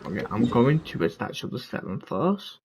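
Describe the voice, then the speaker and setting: A man's voice: mid-range voice, big pitch swings, a little fast, darkish tone, slight background noise. A man giving casual, playful gameplay commentary, often complaining as he plays. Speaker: gamer streaming gameplay. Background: game music.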